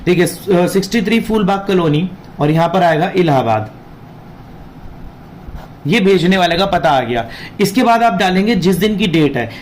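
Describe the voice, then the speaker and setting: A man's voice: sits high, animated, moderate pace, a little hiss or hum. A man with a sore throat, teaching an informal exam lesson, explaining and repeating key points. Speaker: law teacher running an online class. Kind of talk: informal explanatory exam lesson, Hindi mixed with English terms.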